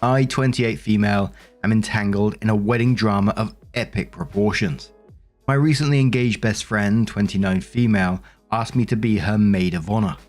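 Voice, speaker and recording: A man narrating online stories aloud and commenting on them in a measured, conversational way. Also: on the low side, soft background music. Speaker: host and narrator of Reddit story compilations.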